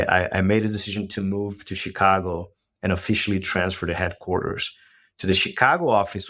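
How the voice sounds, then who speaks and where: A man in his forties from Brazil with a Brazilian accent and a Portuguese first language, explaining his business as a podcast guest in a measured way, often checking with "right?". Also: low-pitched. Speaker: guest, company co-founder. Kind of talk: podcast interview.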